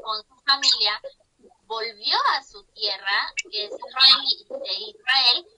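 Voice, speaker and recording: Mexican woman, interviewer, slow deliberate pace, very bright voice, video call audio. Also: on the high side, a little hiss or hum, poor audio. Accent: Mexican Spanish. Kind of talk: long-distance interview.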